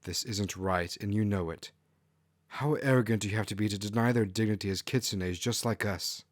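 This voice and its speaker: A man's voice: on the low side, normal speed, no room sound, clean studio sound, lightly acted. A man voicing a righteous, compassionate male kitsune friar with an earnest manner in a fantasy story. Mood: indignant. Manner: earnest, morally indignant.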